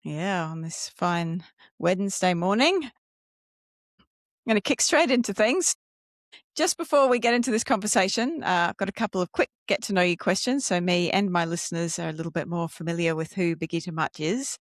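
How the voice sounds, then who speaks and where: A woman's voice: fairly low pitch, animated, moderate pace, no echo. Female podcast host, very dry close sound. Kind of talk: casual conversational interviewing.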